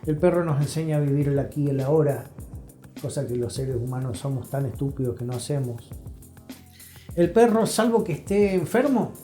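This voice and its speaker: A man's voice: fairly high pitch, lively intonation, moderate pace, dark tone. A man with an Argentine accent giving advice conversationally as an interview guest, emphatic and repeating phrases for effect. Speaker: interview guest, health professional. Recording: roomy echo, music behind.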